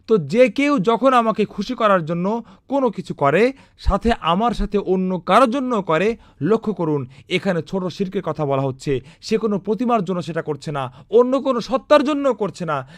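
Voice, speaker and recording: A man's voice: sits high, dry recording, studio quality. A man narrating an Islamic cartoon, explaining a religious teaching in an earnest lecturing tone with rhetorical questions.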